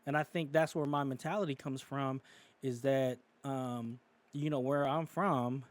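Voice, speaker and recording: A man from California talks through his views on a podcast in a loose, conversational way. He circles around his points with fillers like "you know" and "um", restarting sentences as he goes, at a middling pitch. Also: fairly even intonation, measured pace, dry recording. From California, United States. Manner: rambling, many fillers and restarts. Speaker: podcast co-host. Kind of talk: casual opinionated discussion.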